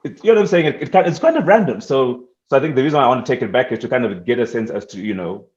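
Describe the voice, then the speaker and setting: A man's voice: medium pitch, lively intonation, very fast, darkish tone. Zimbabwean man hosting, dull echoey sound. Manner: engaged, frequent affirmations. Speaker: podcast host. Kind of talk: conversational interview.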